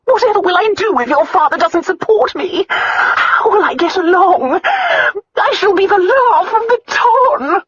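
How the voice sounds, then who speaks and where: An older countess in a storybook drama, shrill and very high, swooping through indignant wails and whines. She is greedy and full of self-pity, stretching her words out. The sound is slightly dull and lo-fi. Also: sing-song, fairly bright tone, slightly rough, exaggerated voice. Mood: screeching whine. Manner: wailing, whining, indignant, drawn-out words. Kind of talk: audiobook character acting.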